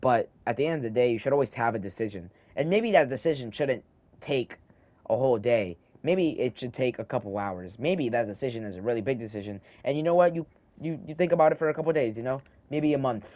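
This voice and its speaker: Teen guy, slight lisp, mid-pitched, meandering gamer ramble into a handheld mic. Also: lively intonation, quick pace, a little mellow, dry recording. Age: teens. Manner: meandering, thinking aloud, frequent restarts. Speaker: gaming channel host. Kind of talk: rambling casual talk over gameplay. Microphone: handheld microphone.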